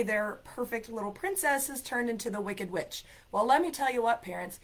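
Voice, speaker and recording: A woman hosting a livestream, chatty and playful, her voice raspy from a cold. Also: a little high, fairly bright tone. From United States. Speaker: ESL program coordinator and show host. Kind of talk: livestream talk and storytelling. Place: decorated home room. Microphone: laptop webcam, video call framing.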